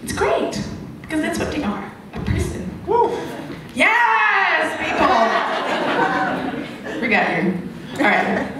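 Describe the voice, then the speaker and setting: A woman's voice: very high-pitched, huge pitch swings, slow, crowd noise. A woman doing stand-up comedy as a comedian, with a rambling, giggly delivery that keeps interrupting itself. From Hungary. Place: comedy club stage. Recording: echoey, noisy club room, distant mic.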